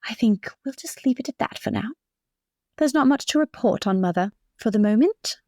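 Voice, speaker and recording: A poised high-society lady, acted by a woman in a tabletop game. Her voice is fairly high and dry, with refined, formal phrasing and a patronising, imperious edge. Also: animated, moderate pace, a little mellow, no echo, natural voice. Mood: gracious, composed. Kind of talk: improvised tabletop roleplay.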